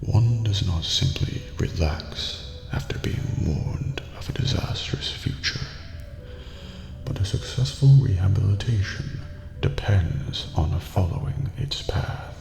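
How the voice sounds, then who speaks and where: A man playing a courteous, eerie old master of a dreamlike prison in an ASMR roleplay, speaking formally and ominously in a gravelly near-whisper. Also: low voice, takes it slowly, darkish tone, full character voice. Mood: calm, instructive. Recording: echoey hall reverb with background music.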